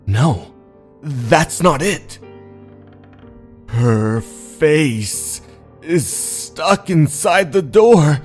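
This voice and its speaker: A man's voice: fairly high pitch, lively intonation, darkish tone. A man narrating scripted horror stories in a dramatic, suspenseful manner. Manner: slow, dramatic, suspenseful delivery. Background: background music.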